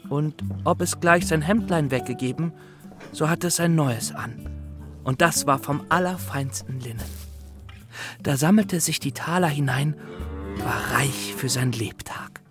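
A man's voice: a little high, measured pace, music in the background, lightly acted. A man as a fussy, timid young scholar. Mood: storytelling. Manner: hesitant, earnest, slightly fussy. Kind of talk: German children's radio drama acting.

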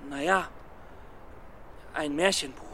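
An actor playing a timid, earnest, hesitant young scholar in a children's radio play. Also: male voice, fairly high pitch, slow pace, creaky, sharp tone, a little hiss or hum, full character voice.

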